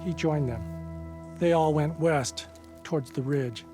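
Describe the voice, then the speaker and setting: A man's voice: a little high, takes it slowly, dark tone. An actor playing a friendly old male scavenger in a video game, with a knowing, storytelling drawl. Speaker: game character. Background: game music.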